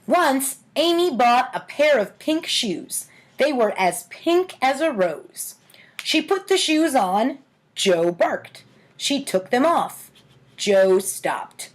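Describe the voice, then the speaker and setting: A woman's voice: high-pitched, sing-song, slow. An adult woman hosting a storytime, reading in a tongue-in-cheek manner with casual asides. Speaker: host of a storytime series reading own childhood writing.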